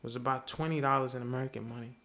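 A man's voice: medium pitch, steady tone, racing, bright, forceful tone. A young man from Haiti, a podcast guest, telling a story earnestly in casual conversation and swearing freely.

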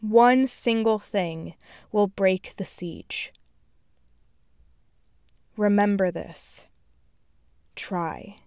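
A woman delivers a solemn recited speech with a smooth, crisp voice. The sound is close and dry, and each short phrase is placed deliberately and with emphasis. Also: mid-range voice, a little mellow, dry recording.

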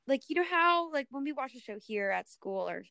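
A woman's voice: high voice, sing-song, moderate pace, creaky, pushed and bright, clear, resonant tone, no echo, clean studio sound. A young woman co-hosting a podcast in casual chat, talking in a hesitant, rambling way peppered with "like". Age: young adult. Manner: hesitant, rambling, heavy use of "like". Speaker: podcast co-host. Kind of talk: casual panel chat about TV.

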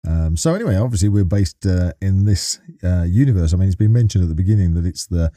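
A man's voice: low voice, animated, fast talker, mellow, no echo, studio quality. A man hosting a podcast in casual interview style, with hesitant, rambling phrasing. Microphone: close studio microphone.

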